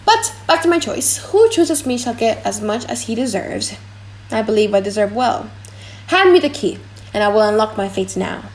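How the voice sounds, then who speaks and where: A woman's voice: on the high side, very animated, normal speed, poor audio. A woman voicing a vain, pompous prince in a dramatic audiobook, musing aloud theatrically. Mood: self-assured. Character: vain, pompous foreign prince weighing his choice aloud.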